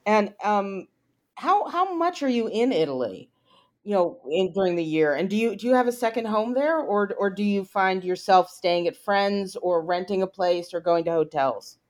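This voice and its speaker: A woman hosts an interview conversation and asks curious, friendly questions. She talks in a chatty way, scattering "you know" through her sentences. Her voice sits at an ordinary middle pitch. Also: expressive, normal speed, a little mellow.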